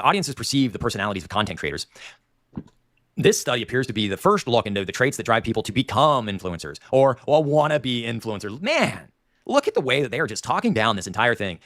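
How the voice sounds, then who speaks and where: A man's voice: mid-range voice, a bit bright, dry recording, studio quality. A man with a nasal voice and a Dayton, Ohio Appalachian accent, hosting a livestream and ranting in opinionated, digressive commentary. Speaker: livestream host.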